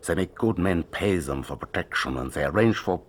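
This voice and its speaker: An actor playing a stern, protective older father in a radio drama, grave and warning, with a husky voice. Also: low voice, lively intonation, fairly quick.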